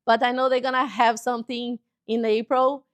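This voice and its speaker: A woman in her forties from Brazil, whose first language is Portuguese, speaking English with a Brazilian accent in a TV interview and pausing often to find words. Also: fairly high pitch, little pitch movement, dry recording. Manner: halting, searching for words, cheerful. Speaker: guest author. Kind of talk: casual interview chat. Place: local TV studio.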